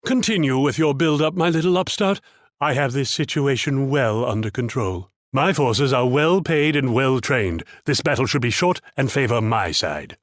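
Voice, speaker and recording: An actor playing a haughty, shrewd Dutch military commander in a strategy game, pompous, theatrical and patronizing. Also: male voice, medium pitch, lively intonation, moderate pace, dry recording, studio quality, lightly acted. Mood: confident command. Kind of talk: scripted strategy game character lines.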